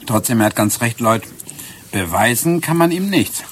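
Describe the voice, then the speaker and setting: An actor in a German radio play playing an urbane, courteous but persistent British police inspector with a measured, slightly hesitant manner. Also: male voice, medium pitch, lively intonation, breathy edge. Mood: dry, collegial.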